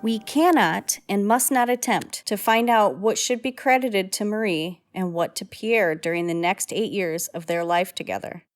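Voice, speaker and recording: Woman narrator with a mid-pitched voice. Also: normal speed, few pauses, soft background music. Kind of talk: scripted old-time radio drama narration.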